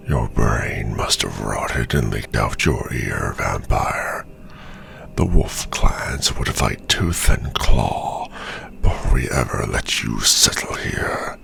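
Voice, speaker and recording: A man playing a gruff, defiant werewolf clan veteran in a fantasy audio roleplay, with a growling voice and formal, deliberate speech. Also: fairly bright tone, a little hiss or hum, heavily acted. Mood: scornful, defiant. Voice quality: very deep, gravelly, growling.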